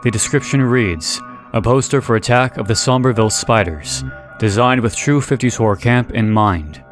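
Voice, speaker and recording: A man reading scripted analysis narration in a measured, deliberate way with dramatic pauses. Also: medium pitch, soft background music. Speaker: video essay narrator.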